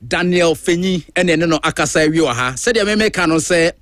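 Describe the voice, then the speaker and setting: A Ghanaian man hosts a drive-time radio show, his voice pitched high for a man. He argues his points with emphatic, animated energy, moving freely between languages. The studio sound is dry and close, with no room around it. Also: brisk, no room sound. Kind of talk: opinionated talk-radio monologue.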